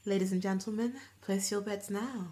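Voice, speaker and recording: A woman plays a haughty female warrior in an audio roleplay. Her mid-pitched voice is full of disdain, scoffing and disgusted. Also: mellow.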